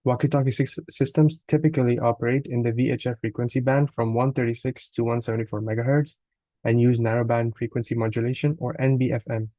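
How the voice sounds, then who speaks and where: A man reads a scripted technical walkthrough as a student presenter, somewhat haltingly, sometimes stumbling and correcting himself. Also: mid-range voice, steady tone, dark tone, dry recording, highs cut off. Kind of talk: scripted technical narration, read aloud.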